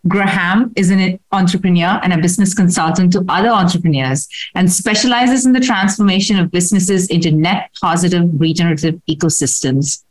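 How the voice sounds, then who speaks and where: Brief: female podcast host, slightly low voice, formal read intro, hesitant questions. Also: brisk, hardly any pauses. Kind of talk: scripted introduction then casual questions.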